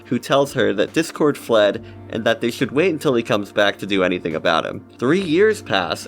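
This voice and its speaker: A man giving casual scripted commentary as a video essayist. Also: lowish voice.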